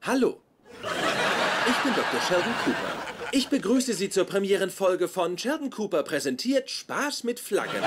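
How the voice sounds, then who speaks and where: An actor playing a pedantic, self-important young scientist in a dubbed sitcom, with precise, lecturing delivery. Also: male voice, high voice, heavy background noise. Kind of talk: dubbed German sitcom acting.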